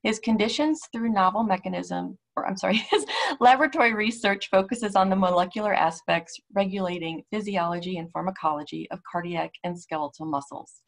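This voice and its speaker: A woman's voice: mid-range voice, fairly quick, gravelly, hardly any pauses. A woman hosting an online event, reading out a speaker introduction and stumbling apologetically over some words. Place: indoors, video call.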